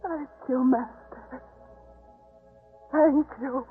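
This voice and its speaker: A woman playing a humble penitent with halting, reverent delivery in a 1950s radio drama. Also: high voice, faint music behind. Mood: tearful gratitude. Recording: tinny vintage 1950s radio recording.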